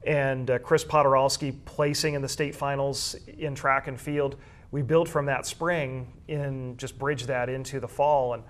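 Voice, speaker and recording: Measured man talking school sports. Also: medium pitch. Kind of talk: conversational sports discussion.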